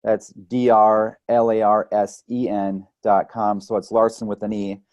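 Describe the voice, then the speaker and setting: A man's voice: fairly low pitch, little pitch movement, measured pace, darkish tone, no echo, lo-fi sound. A man speaking conversationally as an interview guest, explaining things through analogies and often checking in with "right?". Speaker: chiropractic or holistic health practitioner, podcast guest.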